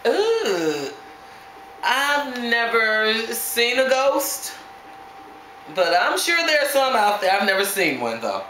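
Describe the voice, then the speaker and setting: Playful fifties woman, animated pitch, recorded in a reverberant room with loud background noise. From New York. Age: 50s. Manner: playful, giggly, drawn-out delivery. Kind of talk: casual chat.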